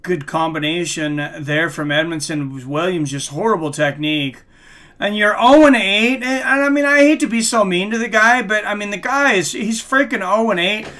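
An American man hosts a sports stream in a fairly high, sharply bright voice. He moves between play-by-play and casual chat. His delivery is conversational and halting, as if thinking while he watches. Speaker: live stream boxing play-by-play host. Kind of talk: casual commentary mixed with chat. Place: small room, indoors, streaming at a desk.

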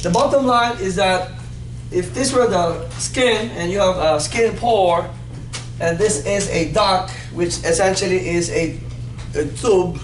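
A man in his fifties teaching anatomy in a classroom, playful and animated, quizzing his students as he goes. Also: high voice, a little slow. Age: 50s. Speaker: anatomy instructor. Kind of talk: classroom lecture with call-and-response. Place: classroom in front of a whiteboard. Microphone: far camera. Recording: echoey classroom with background noise.

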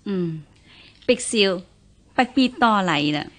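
An actress playing a stern but caring middle-aged mother in a historical radio drama, measured and dignified, with a Hong Kong Cantonese accent. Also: medium pitch, expressive. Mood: measured, admonishing. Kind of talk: radio drama acting in historical costume piece.

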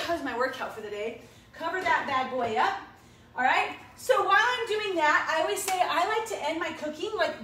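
A high-pitched woman chatters through a cooking demo in her home kitchen, upbeat and poking fun at herself as she goes. The sound comes from a camera set back across the room, so her voice bounces around the kitchen with a steady hiss of background noise underneath. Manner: chatty, self-mocking, upbeat, talks to viewers as "you guys". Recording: echoey kitchen, distant mic, background noise.

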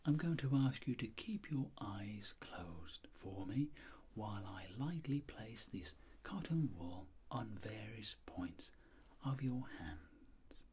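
A middle-aged man speaking in soft whispered ASMR, playing a clinic doctor giving gentle, unhurried instructions. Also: fairly low pitch, slightly rough.

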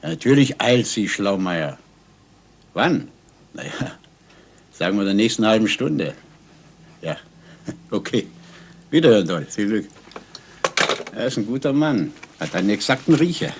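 An actor playing a brisk, wry police colleague in a 1970s radio crime play. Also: male voice, lowish voice, very animated, vocal fry, slight background noise, exaggerated voice. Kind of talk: 1970s German radio crime drama acting.

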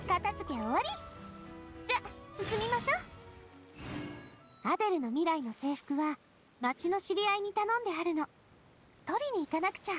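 A woman playing an elegant, warm-hearted young lady boss in an anime game, sincere and expressive. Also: very high voice, moderate pace, pauses often, soft background music. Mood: cheerful. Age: young adult. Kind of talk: Japanese anime game voice acting.

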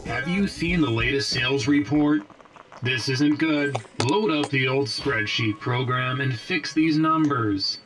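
A man's voice: mid-range voice, measured pace, fairly bright tone, soft background music, natural voice, low-quality recording. A man voicing a self-important office boss robot in a video game, formal and commanding. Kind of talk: video game character acting.

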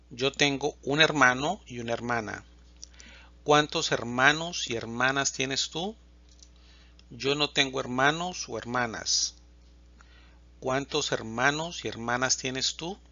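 A man teaching a Spanish lesson, reading example questions and answers slowly and distinctly. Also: mid-range voice, bright, forceful tone, no echo, lo-fi sound. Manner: reads questions and answers slowly and distinctly, pausing between lines. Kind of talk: slow, clear language lesson reading example dialogues.

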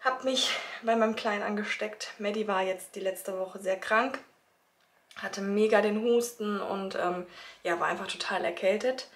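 Give me a slightly hoarse woman in her thirties, chatting at home into a handheld phone and filling pauses with ums as she goes. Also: mid-range voice, steady tone. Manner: chatty, talks straight to viewers with many fillers. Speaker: family vlogger, mother of three. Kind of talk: casual vlog chat to camera. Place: child's bedroom and rooms at home, indoors. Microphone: phone camera held at arm's length.

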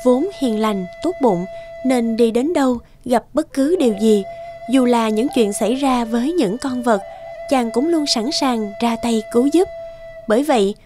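A woman narrating an animated fairy tale as a storyteller, reading from a script. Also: on the high side, a little slow, soft background music, lightly acted. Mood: calm.

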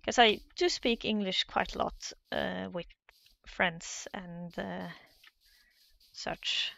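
A woman's voice: mid-range voice, slow, vocal fry, fairly bright tone, dry recording. A Norwegian woman with a Norwegian accent, hesitantly commentating her own Minecraft play in a casual, nervous way. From Norway. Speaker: Minecraft let's player.

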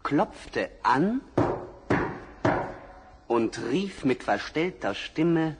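A man narrating a fairy tale for an old record, measured and expressive. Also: on the high side. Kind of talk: storybook narration. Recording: vinyl record, faint hiss.

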